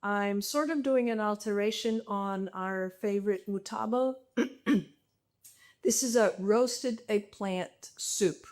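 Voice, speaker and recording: A woman's voice: mid-range voice, takes it slowly, a little mellow. A woman from Turkey leading a casual cooking demonstration in her home kitchen, speaking in a friendly, hesitant way full of ums, over a video call. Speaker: home cook presenter.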